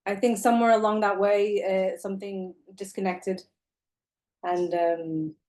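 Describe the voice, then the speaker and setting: A woman from Costa Rica introducing herself in a calm, reflective way, with pauses, on a video call. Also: medium pitch. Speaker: workshop participant.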